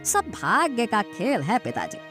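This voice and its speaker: A woman's voice: fairly high pitch, wildly swooping pitch, unhurried, fairly bright tone, full character voice. A woman playing a lazy, boastful young man in a cartoon moral story. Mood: dismissive. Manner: boastful, dismissive. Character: lazy, cocky young son trusting in fate. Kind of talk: animated moral story dialogue. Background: background music.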